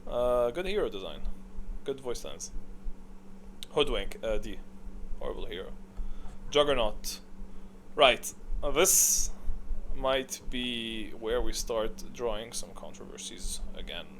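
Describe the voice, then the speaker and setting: Guy doing casual gaming commentary, blunt opinions, average pitch, bit of background noise. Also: lively intonation, takes it slowly, pauses often, fairly bright tone. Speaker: video host ranking game voice lines.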